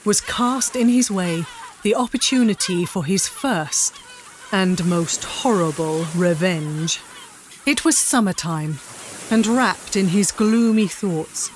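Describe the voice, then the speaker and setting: Slow, grave female narrator. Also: lowish voice, a little hiss or hum. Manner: grave, deliberate storytelling. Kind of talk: dramatic scripted narration.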